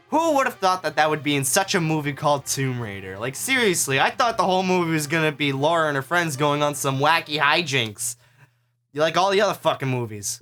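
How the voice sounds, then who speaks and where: A man with a slightly high voice delivers casual review commentary over background music. His intonation swings widely as he gripes with exasperated sarcasm. He swears freely and talks like an online video host. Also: fairly bright tone.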